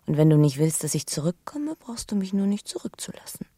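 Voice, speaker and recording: Breathy, intimate young woman in radio drama. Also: fairly low pitch, sing-song, no echo, studio quality. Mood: hushed confession. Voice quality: breathy, often sinking to a whisper. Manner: hushed, confiding, deliberate phrasing. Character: young pregnant woman, earnest and intimate lover with a guilty past. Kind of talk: German radio drama acting.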